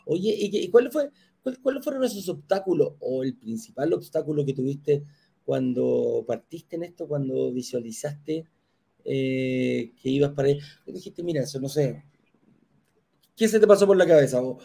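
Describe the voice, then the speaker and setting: A man's voice: on the high side, expressive, moderate pace, gravelly, warm tone, slightly rough. A man hosting an interview, asking friendly questions and often restarting his sentences. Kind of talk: casual interview.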